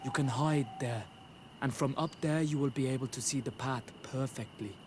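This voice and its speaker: A man plays a young villager in a video game, plain and helpful. His pitch is medium, with a faint layer of noise. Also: moderate pace, darkish tone, close to an everyday voice. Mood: helpful, frank. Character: grateful, plain-spoken young male villager. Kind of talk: video game dialogue acting.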